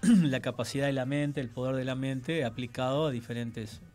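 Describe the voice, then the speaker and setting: A man, a radio interview guest speaking in a measured way with many fillers. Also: medium pitch, darkish tone, faint music behind.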